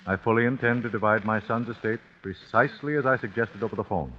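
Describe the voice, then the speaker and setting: Guy playing rich old man in radio crime drama, real deep, polite but sinister, muffled old tape. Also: slight background noise, natural voice. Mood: formal, composed. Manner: formal, measured, polite. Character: courteous, controlled wealthy old host, quietly menacing.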